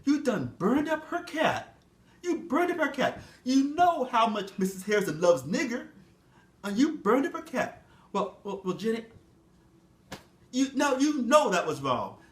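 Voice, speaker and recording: Stammering, pleading plantation master, voiced by a storyteller, raised high and strained. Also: male voice, very animated, unhurried, full character voice. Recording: echoey room.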